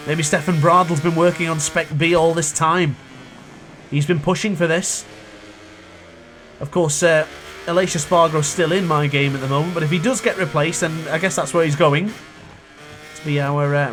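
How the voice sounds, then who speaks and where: A young man with a cold-hoarsened voice, chatting and thinking aloud while he commentates his own racing game play. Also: on the high side, a bit bright, a little hiss or hum. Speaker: gaming youtuber. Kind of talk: live gameplay commentary.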